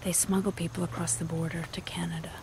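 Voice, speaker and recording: An actress playing a cautious, brisk handmaid who is secretly a rebel, in an audio drama. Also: female voice, lowish voice, flat delivery, creaky, background noise. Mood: conspiratorial.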